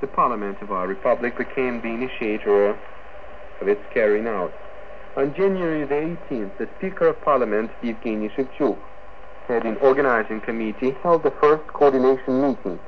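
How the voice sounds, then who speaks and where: Male shortwave newsreader, Eastern European accent, formal halting scripted delivery, dull lo-fi radio sound with light static. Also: mid-range voice. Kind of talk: scripted news bulletin reading. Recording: noisy muffled shortwave radio broadcast.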